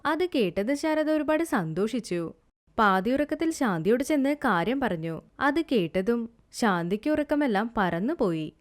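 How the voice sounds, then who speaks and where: A woman's voice: on the high side, big pitch swings, moderate pace. A woman narrating a scripted moral story as a storyteller.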